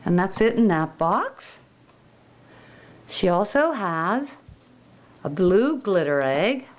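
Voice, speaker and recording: Slow sing-song woman unboxing toys. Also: mid-range voice, big pitch swings, slight background noise. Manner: slow, gentle, sing-song show-and-tell. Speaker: toy unboxing host. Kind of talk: toy unboxing narration.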